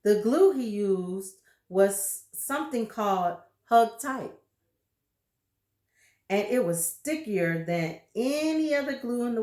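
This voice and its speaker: Slow, expressive female read-aloud narrator; roomy, echoing recording. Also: mid-range voice. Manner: expressive, showing pictures to listeners. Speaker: storybook reader. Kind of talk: children's book read-aloud.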